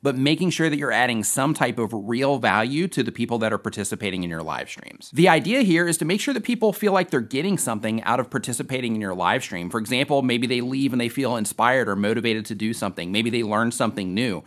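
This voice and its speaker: A man in his forties, hosting a scripted question-and-answer tutorial to camera in a small dark studio. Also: medium pitch, quick pace, few pauses. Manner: upbeat, lively, emphatic explainer. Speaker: host.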